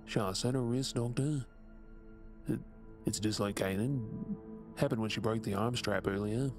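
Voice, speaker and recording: An Australian man acting a tormented, guilt-ridden captive mutant in an audio drama, speaking in a husky, breathy, subdued and halting way. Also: lowish voice, over music, close to an everyday voice. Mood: meek, anxious.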